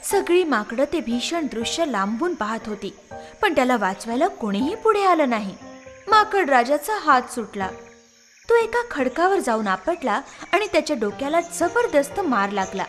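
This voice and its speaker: A woman's voice: high voice, big pitch swings, moderate pace, a bit bright, soft background music. A woman narrating a scripted moral story for children.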